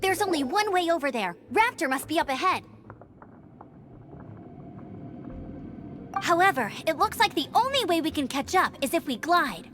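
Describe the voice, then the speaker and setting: High, spirited young knight heroine. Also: female voice, brisk, faint music behind, heavily acted. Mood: confident, playful. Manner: bold, energetic, theatrical delivery. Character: spirited young woman knight, cheeky, confident and eager. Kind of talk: animated video game voice acting.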